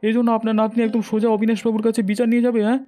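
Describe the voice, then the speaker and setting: Man as high-voiced, irritable village man. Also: monotone, rapid-fire, warm tone. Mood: annoyed, dismissive. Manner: dismissive, exasperated. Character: irritable, unhelpful village man guarding his own peace. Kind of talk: Bengali cartoon voice acting.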